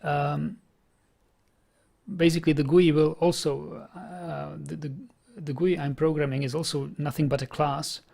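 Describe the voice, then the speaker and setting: A man's voice: fairly high pitch, little pitch movement, a little slow, creaky, a little mellow. A young man with a Czech accent, chatting casually on a programming stream, often repeating words as he thinks aloud. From Czech Republic. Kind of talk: casual live-stream chat.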